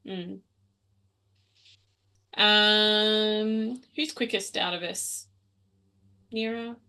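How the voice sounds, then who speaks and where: A woman's voice: mid-range voice, steady tone, drawn-out words, pushed and bright, smooth. A woman chatting casually as a tabletop game player, describing her character's actions informally.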